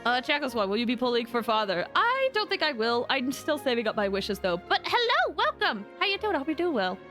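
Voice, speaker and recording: A woman livestreaming a video game, with a fairly high voice that swings up and down. She chats informally and rambles along conversationally, with game music clearly playing behind her. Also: big pitch swings, fairly quick, a bit bright. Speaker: live streamer playing a game. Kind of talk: casual chat with viewers.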